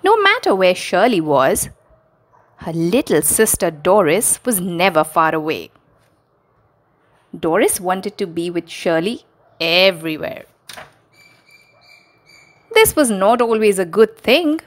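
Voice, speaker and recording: Slow, expressive woman telling children's stories. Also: on the low side. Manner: expressive, addressing small children directly. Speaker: children's storyteller, host. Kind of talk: children's story read-aloud.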